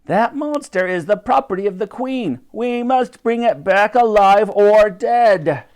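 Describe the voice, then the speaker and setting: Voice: medium pitch, expressive, measured pace, darkish tone, voice pushed up, lightly acted. A storybook narrator voicing a snarling, commanding male villain who barks out orders. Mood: furious. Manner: barked orders.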